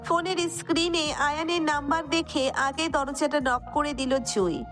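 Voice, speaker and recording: A woman's voice: high voice, moderate pace, music in the background. A woman narrator reading a scripted romantic story.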